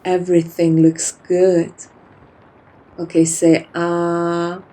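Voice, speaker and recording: Casting: woman as female vampire for ASMR roleplay, soft-spoken and unhurried, kindly dominant, flirty, dotes on the listener. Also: fairly low pitch, monotone. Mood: gentle, commanding. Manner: soft-spoken, teasing, cooing, addresses listener like a pet.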